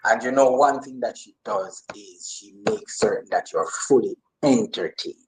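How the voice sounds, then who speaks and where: A man's voice: medium pitch, moderate pace, crowd noise, low-quality recording. A man from Jamaica with a Jamaican accent, chatting casually as an interview guest and repeating phrases for emphasis. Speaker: guest, actor and comedian.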